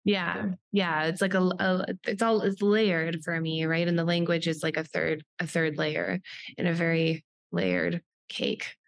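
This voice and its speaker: Woman podcast guest, Polish native, fairly low voice, reflective and halting, dry sound. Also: little pitch movement, gravelly, no echo. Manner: reflective, searching for words with many "like"s. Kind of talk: podcast interview.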